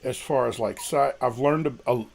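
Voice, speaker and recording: Man, deep voice, guest on a live chat, rambling, self-deprecating. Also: normal speed, creaky. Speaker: guest musician. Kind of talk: casual livestream chat.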